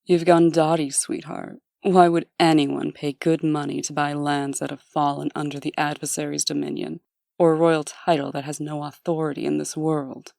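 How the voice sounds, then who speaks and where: A woman plays a cold, businesslike ex-wife in a comic dub. Her voice is deep and dark, dryly recorded, and full of sardonic venom. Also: little pitch movement, moderate pace, creaky, dry recording, clean studio sound. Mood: scornful. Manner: cutting, sardonic. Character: cold, venomous, no-nonsense ex-wife and busy administrator.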